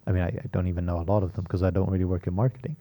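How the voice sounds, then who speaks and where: A podcast host, a man whose first language is Dutch, reacts and builds on his guest's points in a casual way, often adding "right?" to them. His voice is very deep and sits low, and the tone is very dark. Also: level tone, racing. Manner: conversational, frequent tag questions "right?". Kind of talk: podcast interview.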